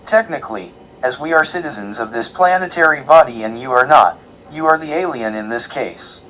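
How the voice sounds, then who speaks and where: Scholarly, literal robot acted by a man, mid-pitched, precise and polite, audio comedy, slight ambient noise behind. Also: animated, moderate pace, fairly bright tone, close to an everyday voice, rough recording. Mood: politely pedantic. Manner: formal, pedantic, precise. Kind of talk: comedic audio drama acting.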